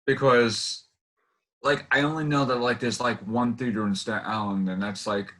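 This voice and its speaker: A man hosting a casual interview, asking questions in a halting, rambling way with many restarts. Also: medium pitch, steady tone, a bit bright, rough recording. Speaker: interview host.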